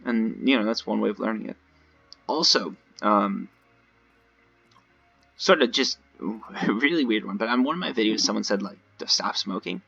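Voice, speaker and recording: A low-voiced, slightly hoarse man with a raspy edge chats through a gameplay video. He rambles conversationally and speaks straight to his viewers. The recording sounds a little dull and lo-fi. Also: expressive, moderate pace, pauses often, pushed and bright, faint music behind. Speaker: gaming video creator. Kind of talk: casual gameplay commentary. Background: voice-over recorded over gameplay.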